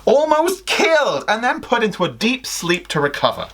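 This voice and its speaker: A man's voice: very high voice, wildly swooping pitch, moderate pace, a bit bright, pitched above the performer's own voice, heavily acted. A man voicing an exasperated teenage schoolgirl from a story, firm and emphatic but trying to be kind.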